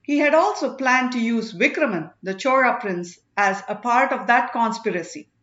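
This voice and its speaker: A woman voicing a stern male elder who addresses a crowd in emphatic, deliberate phrases for an audiobook. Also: fairly high pitch, animated, fairly bright tone, reverberant, highs cut off. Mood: stern, accusing.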